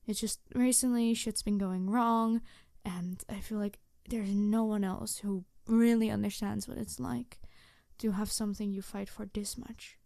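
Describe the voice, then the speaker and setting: A woman playing a snarky, passionate supervillain who is secretly tender, in a halting, sardonic ASMR audio roleplay. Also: medium pitch, fairly even intonation, gravelly, mellow, no echo, studio quality. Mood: tender, confessional. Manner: halting, sighing, emotionally candid, sardonic.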